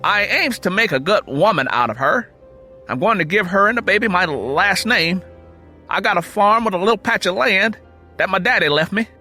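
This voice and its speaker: A man playing an earnest, polite but determined young rural churchman with a steady, respectful, folksy way of talking, in an audio drama. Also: high-pitched, normal speed, faint music behind, voice pushed up, exaggerated voice. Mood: earnest, pleading.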